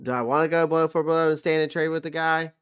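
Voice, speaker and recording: A man's voice: a little high, fairly even intonation, fast talker, smooth. A man in his thirties hosting a casual sports chat, rambling and correcting himself, laughing often. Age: 30s. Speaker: sports podcast host. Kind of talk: casual live-stream chat.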